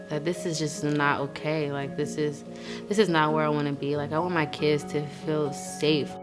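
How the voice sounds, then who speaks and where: A woman in her mid-twenties shares her experience in a low voice. She is informal and halting, circling back over phrases, with music clearly audible behind her. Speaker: client of a charity, young mother. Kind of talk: personal testimony in an interview. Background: instrumental music.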